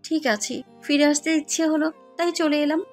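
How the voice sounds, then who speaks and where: A woman's voice: high-pitched, fairly even intonation, moderate pace, close to an everyday voice. An actress playing a willful, nonchalant young woman in an audio romance, with a cool, slightly sulky manner. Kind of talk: audio story dialogue acting. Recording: music under the voice.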